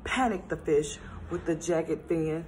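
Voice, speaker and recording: A woman reading a children's storybook aloud in a gentle, expressive storytelling manner. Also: sits low, unhurried, slight background noise.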